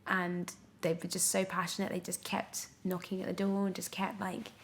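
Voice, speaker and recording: A young woman chatting casually as an interview guest, telling her story in an animated, expressive way. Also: lowish voice, moderate pace, a little hiss or hum. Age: young adult. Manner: animated storytelling, acts out her own past thoughts. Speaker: podcast guest, radio drama actor.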